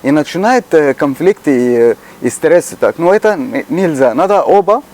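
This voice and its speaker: A man with an Egyptian Arabic accent, a native Arabic speaker, talking earnestly in casual chat and pausing to find words. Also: a little high, expressive, a little mellow. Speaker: shopkeeper.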